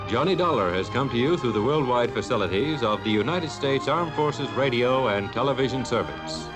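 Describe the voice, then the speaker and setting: A man's voice: medium pitch, lively intonation, moderate pace, low-quality recording. A man reading a scripted network announcement in a vintage radio style. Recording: vintage broadcast under loud music. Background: loud music.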